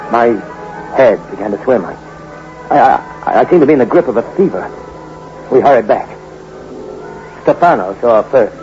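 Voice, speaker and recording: A hard-bitten gold hunter from the Bronx, played by a man, narrating his own story in a tough, cynical tone. His mid-range voice moves with a lively rise and fall. The old radio recording is muffled, with music playing clearly behind him. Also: mid-range voice, moderate pace, long pauses, close to an everyday voice. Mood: tense. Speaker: narrator and lead character. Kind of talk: 1940s radio acting.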